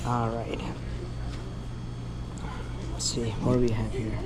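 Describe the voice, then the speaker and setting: A man's voice: medium pitch, monotone, slow pace, a crowd in the background. A man from Nepal, chatting earnestly in a casual travel vlog and often restarting his sentences. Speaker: travel vlogger.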